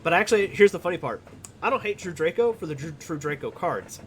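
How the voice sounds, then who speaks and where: Chatty joking host, about thirty. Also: male voice, sits high, expressive, fast talker, a bit bright, a little hiss or hum. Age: around 30. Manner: chatty, joking, filler-heavy. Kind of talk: casual chat.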